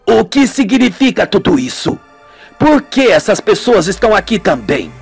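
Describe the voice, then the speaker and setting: A man's voice: high-pitched, sing-song, normal speed, vocal fry, breathy edge, soft background music, voice pushed up, heavily acted. A man voicing a fussy, wary elderly woman shopkeeper in a radio melodrama, curt and suspicious. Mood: grudging.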